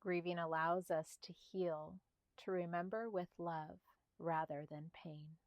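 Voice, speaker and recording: Female meditation narrator, slightly low register, gentle breathy softness, soothing spaced delivery, dry studio-like sound. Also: dry recording, lo-fi sound. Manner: slow, calm, soothing delivery with spaced short phrases. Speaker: meditation guide.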